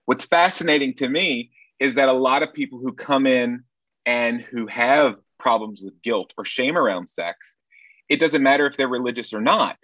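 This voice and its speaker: A man's voice: medium pitch, normal speed, a bit bright, no echo, highs cut off. A man from Nashville, Tennessee, speaking as a sex therapist guest in an earnest, wry, reflective way. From Nashville, Tennessee, USA. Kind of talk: conversational interview answers.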